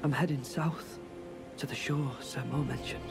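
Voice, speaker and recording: A woman's voice: deep voice, steady tone, moderate pace, creaky, loud music behind the voice. An actress playing an earnest, hesitant woman in a fantasy game. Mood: hesitant, resolved.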